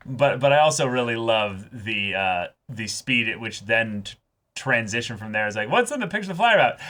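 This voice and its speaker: A man's voice: medium pitch, sing-song, a little fast, fairly bright tone. A man co-hosting a reaction show, chatting casually about a series and animatedly acting out imagined lines of dialogue. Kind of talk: casual anime review chat.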